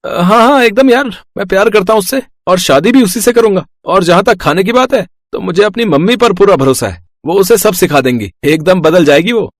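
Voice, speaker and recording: A man's voice: high voice, fairly quick, hardly any pauses, no echo, studio quality, lightly acted. A man playing a polite, evasive young college boyfriend in a moral story. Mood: casual, frank. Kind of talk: animated story dialogue.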